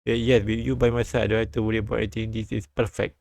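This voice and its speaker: Man, low pitch, creaky, halting live reading, repeated phrases, dry close sound. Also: level tone, a little mellow, no room sound. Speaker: streamer reading a visual novel aloud. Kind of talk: live read-aloud with asides.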